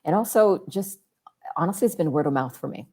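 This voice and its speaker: A woman guest with a deep, calm, soothing voice, chatting in an easygoing way about her skincare routine. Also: a little fast, breathy edge. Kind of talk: casual chat about skincare.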